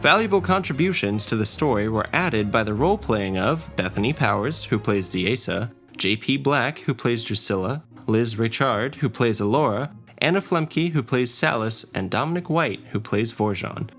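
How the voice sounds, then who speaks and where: A man's voice: medium pitch, lively intonation, moderate pace, fairly bright tone. A man narrating a scripted fantasy adventure story as its dungeon master and storyteller. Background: faint ambience and music.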